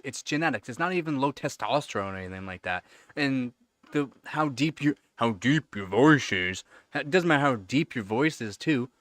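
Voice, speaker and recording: A man's voice: on the high side, fairly bright tone, dry recording. Rambling man in his thirties, dry sound. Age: 30s. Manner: rambling, repeats words, many "like" fillers. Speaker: livestream host. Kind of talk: casual live-stream chat answering viewers.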